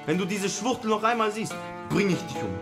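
A controlling, menacing Turkish brother in a radio drama. His voice is high for a man and full of threat and contempt. Music plays behind him. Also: expressive, a little fast. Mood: furious, threatening. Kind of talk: radio play acting.

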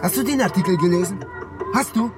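Ein Schauspieler als gereizter, ungeduldiger Mann. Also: male voice, sits high, fast talker, loud music behind the voice, natural voice. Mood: irritable. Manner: irritable, tense.

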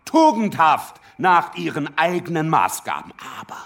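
An actor playing a teasing, sardonic revolutionary politician in a radio drama. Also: male voice, on the high side, wildly swooping pitch, unhurried, creaky, fairly bright tone, heavily acted. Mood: mocking, teasing. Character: provocative, mocking revolutionary politician, relishing his teasing.

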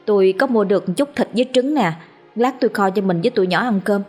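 A woman with a Southern Vietnamese accent voicing a poor, long-suffering young mother who speaks softly, as if holding back tears. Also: medium pitch, fast, faint music behind, natural voice. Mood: tearful, tender. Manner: soft, choked, tender.

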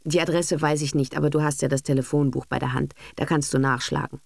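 Lady reader doing bossy cynical businessman dad for an audiobook, sardonic, dismissive, gravelly, no room sound. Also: sits low, steady tone, quick pace, a little mellow, no room sound. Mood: brisk, bossy. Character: brisk, cynical, self-assured businessman husband and father. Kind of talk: literary audiobook character acting.

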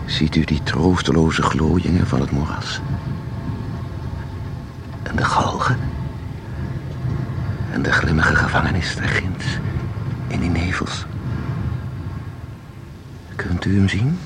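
Radio drama: a hypnotic, evocative, commanding guide; unhurried and creaky, on a noisy recording. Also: male voice, rumbling low, heavily acted. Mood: brooding, evocative. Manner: slow, deliberate, instructing, evocative. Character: commanding male guide, hypnotically luring someone into a painting. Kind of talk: radio play acting.

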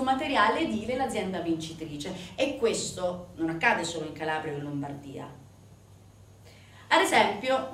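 A woman's voice: mid-range voice, fairly bright tone. An actress playing an indignant, sardonic storyteller in a theatrical monologue, putting emphatic rhetorical questions to her audience. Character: indignant, sardonic woman storyteller denouncing organized crime. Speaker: stage actress in a solo show. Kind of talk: theatrical narrative monologue on organized crime. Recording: roomy, distant stage recording, faint noise.

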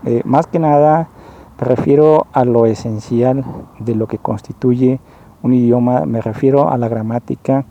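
Slow, halting man with a very dark voice. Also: mid-range voice, steady tone, dull audio. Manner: slow, halting, rephrases often; earnest and explanatory. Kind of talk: spoken monologue, personal complaint.

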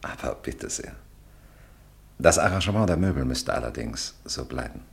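A courteous, formal landlord in an old radio play. His voice is extremely deep with a gravelly rasp. He speaks in a polite, soothing way. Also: animated, normal speed, full character voice. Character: courteous Parisian landlord, older man. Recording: old mono radio drama.

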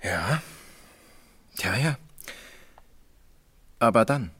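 An actor playing a sceptical police officer in a German radio crime drama, interrogating in a deliberate, insistent way. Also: male voice, lowish voice, expressive, a bit bright, breathy edge, no echo, heavily acted. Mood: pressing, sceptical.

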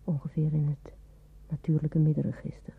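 A woman playing an anxious, timid hospital nurse in a radio drama, with hushed inner thoughts and dutiful replies, on a tinny old recording. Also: low-pitched, level tone, moderate pace, creaky, breathy edge, full character voice. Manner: hushed whispered asides between dutiful phone replies.

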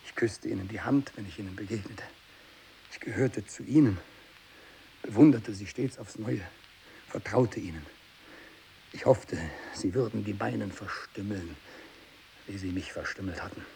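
A tormented zealot in a 1960s radio play, his voice gravelly and full of creak. He is a captive missionary turned hateful fanatic, intense in every phrase, moving between muttering and declamation. A faint hiss lies over the recording. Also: lowish voice, moderate pace, long pauses, warm tone, poor audio. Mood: bitter, subdued. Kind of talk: 1960s German radio drama monologue. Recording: hissy 1960s radio production.

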